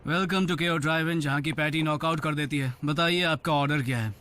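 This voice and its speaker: A man's voice: on the high side, moderate pace, pushed and bright. An actor playing an awkward, wry teenage boy in a cartoon dub, casual and mixing in English words. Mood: bored, polite. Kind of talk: dubbed cartoon dialogue. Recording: music under the voice.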